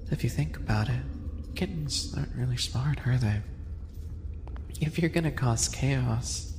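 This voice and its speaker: A man acts out a smug, sadistic animal-boy maid for an ASMR roleplay. His delivery is hushed, teasing and very unhurried, with a creaky fry. It rings with long reverb over noisy ambience. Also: mid-range voice, close to an everyday voice. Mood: condescending. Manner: condescending, teasing, hushed and drawn out. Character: sly, smug, sadistic male animal-person maid yandere. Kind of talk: roleplay ASMR audio acting.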